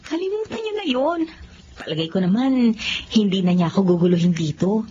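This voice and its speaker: An actress playing a gentle, forgiving young bride-to-be in a Filipino radio drama. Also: female voice, medium pitch, very animated, a little fast, highs cut off, a little hiss or hum, natural voice. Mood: cheerful, trusting. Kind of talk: Tagalog radio drama acting.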